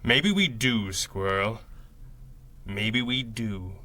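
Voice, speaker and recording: An actor playing a calm, philosophical masked crime fighter in a 1930s-style radio adventure, speaking in a measured, articulate way. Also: male voice, lowish voice, very noisy. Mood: reflective, gentle.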